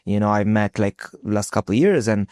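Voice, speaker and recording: A man's voice: lowish voice, a little fast, darkish tone, clear, resonant tone, dry recording. A man hosting a podcast, chatting in a friendly, effusive way that is full of likes and you-knows. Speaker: podcast host. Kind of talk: casual podcast interview.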